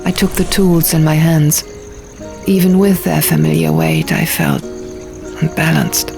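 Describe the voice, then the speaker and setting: A woman reading a first-person fantasy audiobook in a measured, dramatic storytelling manner. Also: low voice, big pitch swings, creaky. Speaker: audiobook narrator. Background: music and sound effects.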